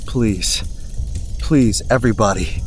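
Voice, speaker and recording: A woman's voice: a bass voice, slow, soft background music. A woman playing a frazzled, self-absorbed young video creator in an audio drama, talking dramatically in breezy modern slang. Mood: shaken, mock mourning. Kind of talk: horror comedy audio drama.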